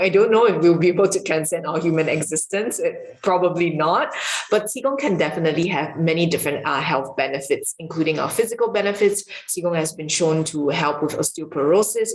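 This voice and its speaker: A woman from Singapore, a qigong and yoga teacher, calmly giving an online lesson with some filler ums. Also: fairly low pitch. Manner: calm, explanatory, with filler ums. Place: home room with marble floor. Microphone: camera mic, video recording. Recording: echoey room.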